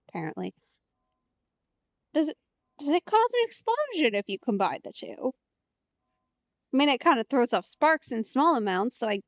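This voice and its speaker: Female let's-play streamer: wry casual asides, fairly high voice, slightly muffled, game music behind. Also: dry recording.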